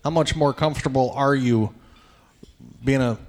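Man, radio host, live event emcee, chatty, joking, middle pitch. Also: darkish tone. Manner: brisk, chatty, joking questions. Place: banquet event hall.

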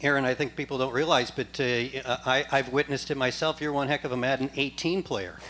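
A man's voice: medium pitch, fairly even intonation, fairly quick, a bit bright. A man co-hosting a live event and chatting casually with guests as he interviews them. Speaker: co-host and interviewer. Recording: live event, noisy room.

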